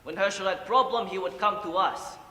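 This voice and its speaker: An old grandfather, acted by a man, weighed down by guilt and drink, speaks in a high, bright voice. His is halting and broken, broken up by sighs. It is a stage monologue in a reverberant hall with some noise. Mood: wistful, tender. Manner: halting broken English with sighs and murmured asides. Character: old Ukrainian grandfather, boozy, guilt-ridden, confessing to grandson. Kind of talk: competitive dramatic monologue. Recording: echoey hall, distant, audible room noise.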